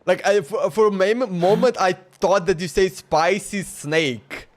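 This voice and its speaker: A man's voice: high-pitched, a little slow. A man giving casual live gameplay commentary as a streamer, rambling and thinking aloud. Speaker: game streamer.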